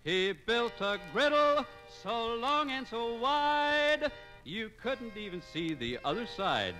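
A man's voice: extremely high pitch, sing-song, slow pace, bright, forceful tone, faint music behind, low-quality recording. An American man reciting a rhymed tall tale in a theatrical, rhythmic way for 1950s radio. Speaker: radio narrator.

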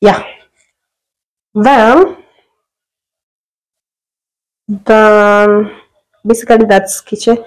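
A woman's voice: mid-range voice, poor audio. A young Kenyan woman chatting her way through a house tour, filming on a handheld phone in a small indoor apartment. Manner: chatty, mixes English and Swahili slang, lively exclamations. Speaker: vlogger giving a house tour. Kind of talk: casual house tour chat. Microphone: phone camera held while walking.

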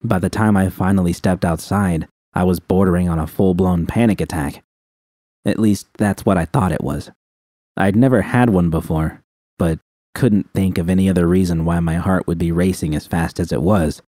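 A man reading a first-person fan story aloud in a quiet, subdued manner. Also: low-pitched, normal speed, dry recording, studio quality. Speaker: audiobook reader.

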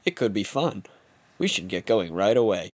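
A man's voice: lowish voice, very animated, normal speed, dry recording. A young man narrating a home-made comedy film in the first person, with playful, mock-dramatic storybook delivery.

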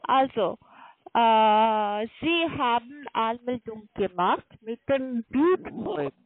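A high-voiced woman with a foreign accent works through a sales pitch on a muffled phone line. She speaks haltingly in non-native German, pressing on and often seeking confirmation. The sound is dry and narrow. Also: lively intonation, no echo. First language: not German. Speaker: call centre telemarketer selling online trading. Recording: muffled phone line, fluctuating microphone volume.

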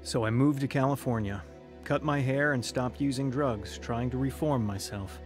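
A man's voice: mid-range voice, normal speed, lightly acted. An actor playing a troubled, searching young man who tells his own story earnestly in a radio drama. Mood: somber, reflective. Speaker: narrator and lead actor. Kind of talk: dramatized first-person radio testimony. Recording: music behind the voice.

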